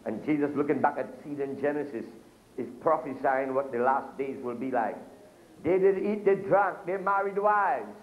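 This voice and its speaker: A middle-aged man preaching a sermon at a pulpit, gesturing and calling out to the congregation. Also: on the high side. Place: church hall with curtains, speaking at a pulpit. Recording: echoey church room, dull lo-fi video sound.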